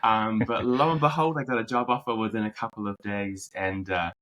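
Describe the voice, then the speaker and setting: Podcast guest: New Zealand man in his thirties, deep-ish voice, easygoing storyteller with lots of fillers. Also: a little fast. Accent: New Zealand, mixed with American. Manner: relaxed storyteller, many fillers and restarts. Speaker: podcast guest, marketing executive. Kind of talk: conversational interview.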